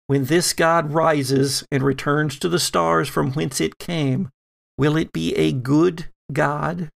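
A man playing a solemn, weary old grandmother who speaks gravely and haltingly in a tabletop roleplaying game. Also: fairly high pitch. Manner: slow, grave, halting delivery.

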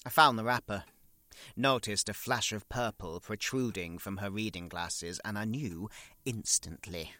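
A man's voice: lowish voice, a little slow, pushed and bright, no room sound. A man playing a fussy, pompous, middle-aged British son with petty grievances, in a comic dramatic monologue. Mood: fussy, gossipy. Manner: prim, pompous, florid wording, prone to indignation.

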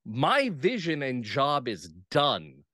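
A man speaking as an interview guest in casual chat, enthusiastic and often checking his points with a quick questioning tag. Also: medium pitch, huge pitch swings, sharp tone, no echo, studio quality. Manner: enthusiastic, punctuates points with "right?". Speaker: playwright and actor, podcast guest.

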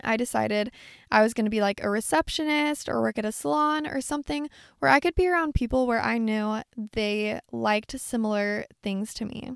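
A woman in her twenties hosting a podcast alone, chatting in an effusive, rambling way, her voice a bit raspy. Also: a little high, normal speed, dry recording, studio quality. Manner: chatty, effusive, lots of likes and little laughs. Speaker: podcast host. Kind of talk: casual solo podcast monologue.

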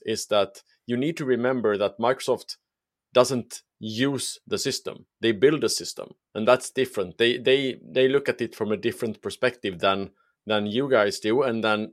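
A man's voice: lowish voice, dry recording. Guy hosting a podcast, dry close sound, stumbles and repeats words a lot. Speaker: podcast host. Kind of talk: casual interview chat.

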